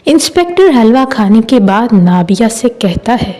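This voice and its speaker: A woman storyteller with a high, mellow voice. She reads a scripted story at a slow pace, with music behind her. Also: very animated. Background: background music.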